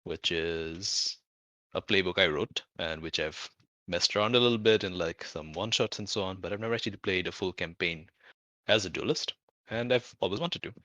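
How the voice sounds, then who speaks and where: A man with a fairly deep, bright voice talks through tabletop game ideas as a player. He speaks informally and haltingly, working out his thoughts as he goes, in a dry, close sound. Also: dry recording. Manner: halting, thinking aloud, informal with swearing. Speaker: player in a tabletop roleplaying show. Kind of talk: casual out-of-character game discussion.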